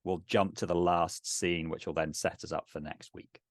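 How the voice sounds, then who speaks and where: A middle-aged man casually narrating a tabletop game, thinking aloud in a halting way. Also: low-pitched, steady tone, no room sound, studio quality. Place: indoors on a video call.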